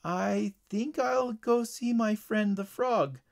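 A man's voice: on the high side, big pitch swings, a little slow, breathy edge, voice pushed up, full character voice. A man voicing an uneasy, reluctant schoolboy in a children's story.

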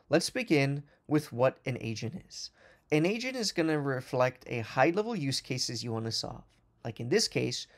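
A man talks through a software demo over a screen recording in a fluent, brisk corporate manner. His voice sits in a middle pitch with a gravelly, fry-edged texture. He sometimes restarts a phrase but keeps the explanation moving. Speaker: product manager presenting a software demo. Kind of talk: recorded product walkthrough over slides and screen.

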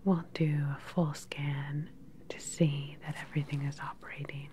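A woman in her thirties playing a calm, sinister scientist in an ASMR sci-fi roleplay, whispering softly, close to the mic, with a clinical, condescending manner. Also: sits low, slight background noise, close to an everyday voice. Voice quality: soft, breathy whisper. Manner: unhurried, clinical, quietly condescending. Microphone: close microphone, seated facing the camera.

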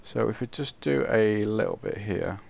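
A man talks his way through a building game, thinking aloud and laughing at his own slip-ups. His voice sits a little low and is rough and gravelly, hoarse from a cold. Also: moderate pace, darkish tone. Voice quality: croaky, gravelly. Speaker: let's play host. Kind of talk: casual gameplay commentary.

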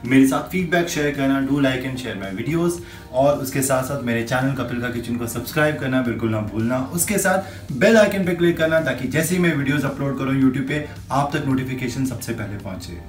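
A young man hosts a home cooking show in an instructive, steady way, dropping English phrases into his explanations. His voice sits at an average pitch. Faint music plays softly beneath him. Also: moderate pace. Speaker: cooking channel host. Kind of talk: recipe demonstration voice-over. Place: home kitchen with brick wall.

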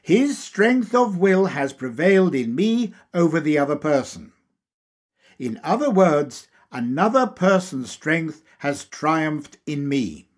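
Male audiobook reader for a lecture text: unhurried, measured delivery, slightly high pitch, animated intonation. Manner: deliberate, measured, emphatic phrasing. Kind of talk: scripted lecture reading.

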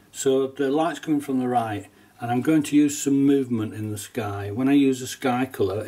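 A middle-aged man with a cold, sounding nasal, casually talking through a watercolour painting demonstration at his desk, relaxed and chatty with small laughs. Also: mid-range voice, slightly rough, distant mic. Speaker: watercolour teacher. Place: painting desk at home, indoors.

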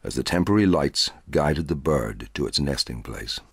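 A middle-aged man reading a short story aloud in a measured, dramatic way, with a husky voice. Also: rumbling low, animated, dry recording, studio quality. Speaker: audiobook narrator.

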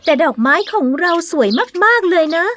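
A woman voicing a sweet, gentle, anxious young princess who pleads softly in a children's fairy tale cartoon. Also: very high voice, expressive, moderate pace, music in the background. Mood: worried.

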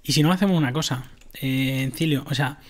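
A man's voice: medium pitch, brisk. A man giving casual commentary on a game, explaining items to his viewers. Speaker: gaming streamer.

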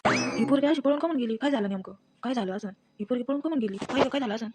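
A woman's voice: a little high, racing, music in the background, lightly acted. A woman with a rural Maharashtrian accent voicing an anxious, brooding older villager in a family story cartoon. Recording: clearly noisy background.

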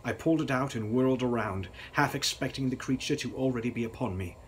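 Young guy reading a gothic horror book out loud, very dramatic and serious, bit of background noise. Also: mid-range voice, fast talker. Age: young adult. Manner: theatrical, grave. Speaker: author reading his novel.

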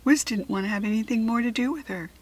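A woman with an American accent reading children's fiction aloud as an expressive narrator, enunciating carefully. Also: a little high, fast, slightly rough. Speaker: storybook reader.

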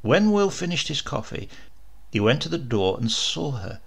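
A man's voice: medium pitch, big pitch swings, normal speed, creaky, husky. A man narrating a horror story as a dramatic audiobook reading.

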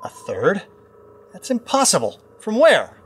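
Man acting an animated, anxious aide. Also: high-pitched, a little slow, heavy background noise, exaggerated voice. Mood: tense, reporting. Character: anxious, deferential male intelligence aide. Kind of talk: audio drama acting.

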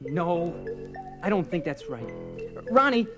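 An excitable, obsessed family man for a story record. His delivery is earnest and insistent, with wide pitch swings. Music plays behind the dialogue. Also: takes it slowly, heavily acted. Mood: preoccupied. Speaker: actor. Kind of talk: 1970s dramatised story record acting.

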